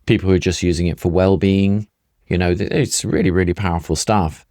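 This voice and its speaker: A man's voice: deep voice, fairly even intonation, fairly quick, a little mellow, no echo, clean studio sound. A middle-aged or older man explaining things conversationally as a doctor, emphatic and repeating points for stress. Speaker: functional medicine doctor, guest.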